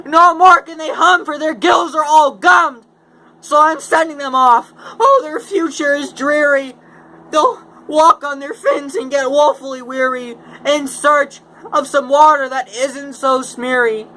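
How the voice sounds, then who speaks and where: Lorax, shrill croaky scold. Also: unhurried, sharp tone, full character voice, rough recording. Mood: indignant. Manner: high, squawking, scolding. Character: small grumpy forest creature, scolding and fussy. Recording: clear background noise.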